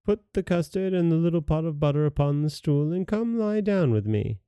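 A man's voice: fairly high pitch, animated, moderate pace, clear, resonant tone, no room sound, clean studio sound, pitched above the performer's own voice, lightly acted. Sly, coaxing storybook wolf, as a man reads him. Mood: falsely sweet. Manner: coaxing, feigned gentleness. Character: sly hungry male wolf, falsely sweet.

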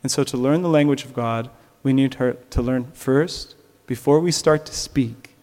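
A man's voice: mid-range voice, normal speed, darkish tone. Man preaching in an echoing hall. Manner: earnest, rising to emphatic peaks, with light laughs. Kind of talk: sermon. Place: church.

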